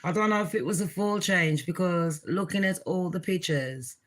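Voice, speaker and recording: A woman with a deep voice hosting her own channel, giving blunt, swearing, chatty commentary. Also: moderate pace. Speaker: channel host. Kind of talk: casual reaction commentary.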